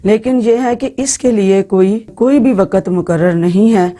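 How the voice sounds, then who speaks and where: Mellow-voiced woman giving religious instruction. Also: mid-range voice, moderate pace. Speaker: religious teacher. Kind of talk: informal Islamic religious instruction to women.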